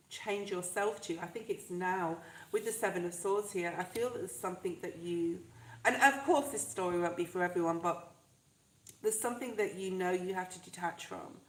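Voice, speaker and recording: A woman with a stuffed-up, fluey voice, chatting warmly and reassuringly to viewers during a live tarot reading. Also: lowish voice, echoey room, rough recording. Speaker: tarot reader.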